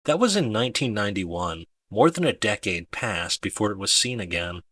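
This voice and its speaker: Expressive, bright-voiced male audiobook narrator. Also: on the low side, normal speed, slightly rough. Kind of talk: dramatic scripted narration.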